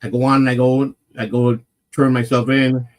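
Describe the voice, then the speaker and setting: Loud-voiced man telling a rough story. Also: mid-range voice, flat delivery, moderate pace. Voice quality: naturally loud voice. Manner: rambling, swears freely, restarts and voices others in quotes. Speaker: morning show host telling a personal story. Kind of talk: casual live-stream chat, storytelling.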